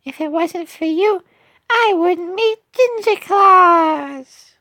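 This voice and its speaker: A little cartoon cookie child with an extremely high, sweet voice. The delivery is slow, gushing and earnest, as in a storybook game tale, and sounds close and dry. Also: female voice, sharp tone, dry recording, heavily acted. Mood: earnest, wistful. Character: sweet excitable young cookie child, poor and lonely.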